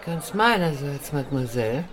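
Poised mature madame with wide-swinging pitch. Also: female voice, lively intonation, moderate pace, crowd noise. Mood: polite, cool. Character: worldly, poised mature madame, cool and formal. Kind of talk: German radio drama acting.

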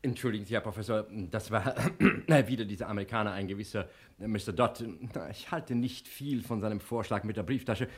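An actor playing a self-important, jovial prison director with an officious manner in a German radio drama from around 1960. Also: male voice, fairly low pitch, fairly quick. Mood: officious, skeptical. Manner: jovial, officious, with throat-clearing.

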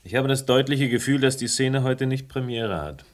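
A man's voice: medium pitch, moderate pace, no echo, lightly acted. A man playing a sardonic, insistent amateur investigator in a German radio crime drama, with a taunting, theatrical delivery. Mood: knowing irony.

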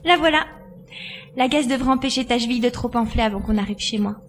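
A woman playing a spirited, capable girl pilot in an audio drama, brisk and teasing. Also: a little high, a little hiss or hum, natural voice. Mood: brisk, practical. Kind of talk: acted audio drama dialogue.

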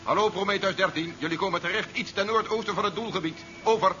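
An actor playing a cautious, commanding army general giving curt orders in a 1970s science-fiction radio play. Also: male voice, high voice, fast talker, pushed and bright. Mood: businesslike. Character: commanding, cautious army general running a space capsule recovery. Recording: dull 1970s radio recording, engine noise behind.

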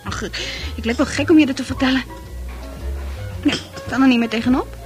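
A woman's voice: sits high, fairly quick, faint music behind, lightly acted. An actress in a Dutch radio play playing a candid, troubled young woman who talks freely and confides with emphasis. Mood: exasperated.

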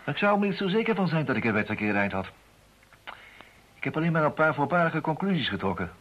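Methodical radio-play detective: husky, very varied intonation, businesslike and wry, on a dull recording. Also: male voice, a little high, very animated, fast talker. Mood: thoughtful. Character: dry, methodical male private detective. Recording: dull lo-fi old radio sound.

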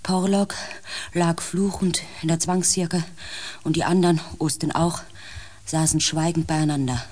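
An actress in a German radio play, playing a sober, uneasy crew member of a space expedition in a grave, restrained manner. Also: female voice, low-pitched, steady tone, a little slow, darkish tone. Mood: haunted, grave.

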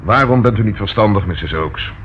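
A protective police inspector played by a man, low and measured, dutiful and reassuring. The radio play acting comes on a dull old recording with faint noise. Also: expressive, close to an everyday voice. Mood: firm.

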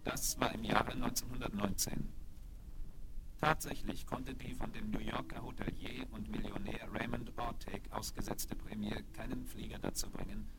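A man gives scripted narration for a seventies children's radio play. He tells it in a measured, factual way, recorded on an old vinyl record. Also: lowish voice, flat delivery, very noisy.

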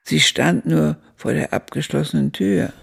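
An actress playing an elderly woman who recalls her youth, narrating a radio drama in a measured, reflective way with a gravelly, husky voice. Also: low-pitched, heavy background noise, exaggerated voice. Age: older.

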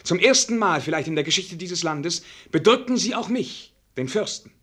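A high-strung young prince in a radio drama. His fairly high voice rises and falls widely as he declaims, theatrical and bitter, weary of court formality. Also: animated, normal speed, sharp tone, breathy edge, heavily acted. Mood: troubled. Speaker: actor in a radio play. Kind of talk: German radio drama acting.

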